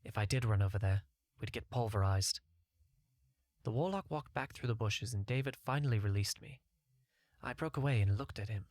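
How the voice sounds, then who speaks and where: A man's voice: lowish voice, moderate pace, pauses often, darkish tone, dry recording, clean studio sound. A man narrating a scripted first-person fantasy short story as an audiobook reader.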